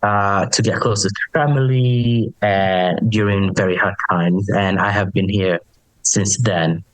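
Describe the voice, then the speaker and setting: A man's voice: on the low side, fairly even intonation, unhurried, dry recording, rough recording. A young man from Ohio, a podcast guest, speaking earnestly with fillers like uh and right. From Ohio, United States. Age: young adult. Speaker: podcast guest, committee treasurer. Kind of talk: casual interview conversation.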